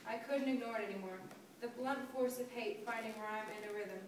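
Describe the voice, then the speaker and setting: A shaken young local marcher tells of familiar streets turned hostile read by a young woman. The voice is a little high and distant, in heavy echo with clear background noise. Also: a little fast, close to an everyday voice. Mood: shaken, reflective. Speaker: student performer in a dramatic reading. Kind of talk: dramatic reading of poetic narrative. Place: large echoey indoor room, distant mic. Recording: distant, very echoey hall with noise.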